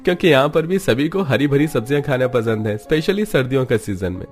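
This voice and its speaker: A man's voice: mid-range voice, animated, fairly quick, soft background music, lightly acted. A man voicing a friendly, admiring young newlywed husband in a cartoon family drama.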